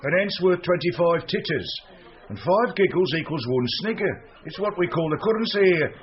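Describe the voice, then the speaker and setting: Breezy camp captain, highish voice. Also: male voice, animated, moderate pace. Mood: matey, sly. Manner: breezy, matey patter. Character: jovial, faintly menacing male holiday-camp captain. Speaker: actor in a comic mystery radio drama. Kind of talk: comic radio drama acting. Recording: dull, lo-fi radio sound.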